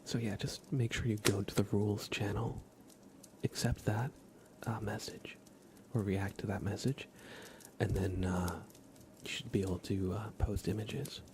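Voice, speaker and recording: A man's voice: lowish voice, level tone, measured pace, noisy background. A man hosting an ASMR livestream in a whispered, casual and hesitant manner, chatting and commentating. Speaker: live streamer, host.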